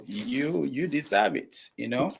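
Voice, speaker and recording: A man hosting an online talk show, speaking in a measured, reflective way with thoughtful pauses. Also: medium pitch, expressive, vocal fry, slight background noise, low-quality recording.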